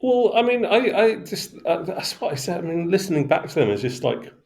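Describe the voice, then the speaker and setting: A man's voice: high-pitched, very animated, brisk, creaky, a little mellow. A man co-hosting a music podcast, talking casually in a reflective, analytical way.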